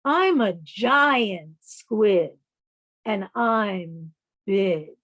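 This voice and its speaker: A woman voicing a proud, bragging giant squid in a children's picture-book read-aloud, speaking slowly. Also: medium pitch, expressive, a bit bright, dry recording, studio quality, pitched below the performer's own voice, full character voice. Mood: boastful. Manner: slow, bragging delivery.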